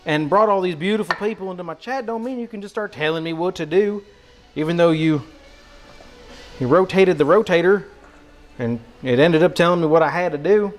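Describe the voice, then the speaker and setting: A man putting on an American Southern country accent as a goofy, excitable countryman, rambling casually to a livestream chat in a folksy drawl. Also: high-pitched. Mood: mock-patriotic, playful. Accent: put-on American country, Southern. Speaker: live streamer cooking for chat.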